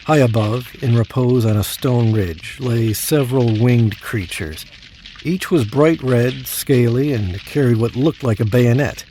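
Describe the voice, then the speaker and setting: A man's voice: medium pitch, measured pace, mellow, slight background noise, close to an everyday voice, poor audio. An actor playing a self-important, demanding man stuck in Hell, haughty and complaining, in an audio drama. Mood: self-important narrating. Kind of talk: first-person audio drama narration and dialogue.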